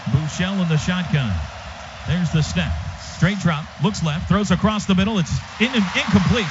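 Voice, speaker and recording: An American man calling live football play-by-play on the radio in clipped, punchy phrases. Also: high voice. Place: stadium radio booth. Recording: dull, noisy live radio broadcast.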